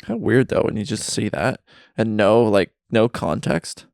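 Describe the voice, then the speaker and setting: A man's voice: fairly low pitch, expressive, a little slow, vocal fry, a little mellow, dry recording, clean studio sound. A man co-hosting a podcast in casual chat, wry and teasing, recorded in a friend's basement. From Britain. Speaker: podcast co-host.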